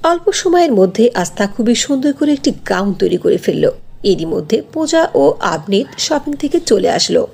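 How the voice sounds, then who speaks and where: A woman's voice: mid-range voice, big pitch swings, moderate pace, gravelly, a little mellow, slightly rough. A woman narrating a scripted fairy tale for a cartoon as its storyteller.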